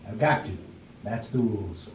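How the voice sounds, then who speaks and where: A man's voice: on the low side, animated, moderate pace, a little hiss or hum, lightly acted. A man acts a resigned schoolteacher in a stage play. He sounds downbeat and evasive, placating rather than arguing, and is picked up from a distance in a roomy space. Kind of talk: amateur readers' theater stage acting. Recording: roomy echo, distant microphone.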